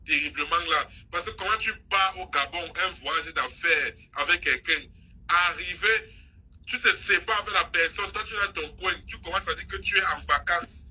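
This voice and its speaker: A woman's voice: on the low side, normal speed, pushed and bright, slightly rough, rough recording. A woman hosting a live stream, scolding and mocking someone in an insistent, repetitive gossip rant. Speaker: social media live host.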